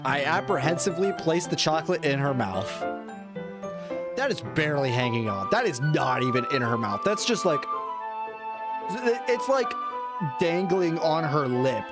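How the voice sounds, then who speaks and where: A man with a fairly high voice reads a visual novel aloud on a stream. He breaks into casual, joking asides and interrupts himself, while loud game music plays behind him. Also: expressive, moderate pace.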